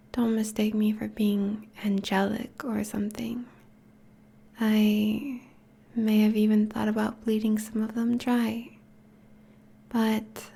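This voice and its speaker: A woman playing a teasing, affectionate vampire girlfriend in an intimate ASMR roleplay, speaking softly in a breathy, whispery voice. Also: medium pitch, heavily acted. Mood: teasing, sly. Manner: soft, teasing, languid.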